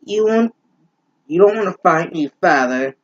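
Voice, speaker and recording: A man voicing a defiant young woman assassin in a melodramatic falsetto, reading a story aloud. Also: animated, unhurried, muffled recording.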